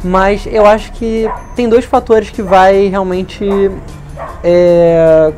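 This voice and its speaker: A man in his twenties with a softened Rio de Janeiro accent and hushing s sounds, explaining things in a casual interview. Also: high-pitched, steady tone, slow, soft background music. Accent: softened Rio de Janeiro Brazilian. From Brazil, Rio de Janeiro. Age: 20s. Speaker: interview guest, leader of a community media project.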